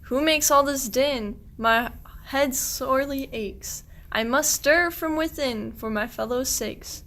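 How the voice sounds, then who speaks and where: Excitable, suspicious shepherd played by a woman, high voice, slow theatrical verse for comic radio play. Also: heavily acted. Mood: startled, anxious. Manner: theatrical, exclamatory rhymed verse. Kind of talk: verse radio play acting of a medieval comedy.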